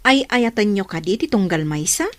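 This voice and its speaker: An actress playing a protective, doubting, teasing older sister in a radio drama, with an Ilocano accent. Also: female voice, medium pitch, animated, normal speed, lightly acted.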